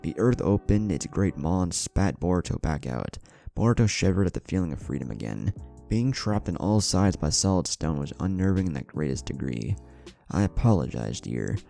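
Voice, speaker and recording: A man narrating a scripted story in a measured, dramatic storytelling manner. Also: deep voice, animated, gravelly, mellow, soft background music.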